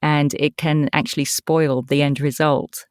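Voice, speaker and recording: A woman's voice: deep voice, little pitch movement, unhurried, no echo, clean studio sound. A woman with an English accent chatting enthusiastically as a guest in a casual interview. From eastern England. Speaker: podcast guest, voice actor.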